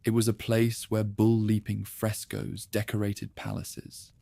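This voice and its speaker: A man narrating history in a calm, slow, soothing sleep-story style. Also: fairly low pitch, creaky, no room sound. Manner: slow, soothing, measured delivery.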